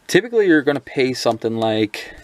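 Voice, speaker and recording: A man's voice: medium pitch, big pitch swings, fairly quick, no echo. A man giving a casual hands-on review of a handheld radio, talking loosely with lots of ums and restarts. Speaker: product reviewer.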